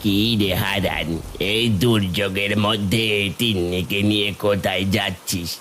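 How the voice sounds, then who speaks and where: Cartoon village uncle played by a man: lowish voice, colloquial, cautioning, faint rainy noise behind. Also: steady tone, normal speed, fairly bright tone, lightly acted. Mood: concerned. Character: village uncle, concerned and discouraging. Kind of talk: Bengali cartoon folk-tale voice acting.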